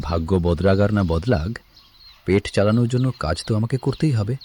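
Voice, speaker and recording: Man acting a humble, low-voiced poor farmer. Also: measured pace, mellow, husky. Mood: hopeful, gentle. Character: poor, honest, hardworking male farmer, humble family man. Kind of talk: cartoon fairy tale acting. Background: music.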